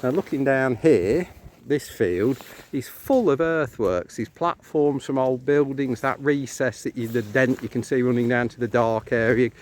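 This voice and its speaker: Englishman chatting outdoors over clear noise. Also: mid-range voice, unhurried, hardly any pauses, a little mellow. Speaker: co-host of a walking vlog. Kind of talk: casual chat with local guide commentary. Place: outdoors on a country lane. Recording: outdoor phone recording with clear background noise.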